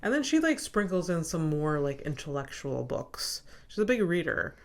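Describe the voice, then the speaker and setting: Low-voiced woman chatting casually. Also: very animated, normal speed. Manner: laughs often, lots of filler "like". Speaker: podcast co-host. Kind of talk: casual chat.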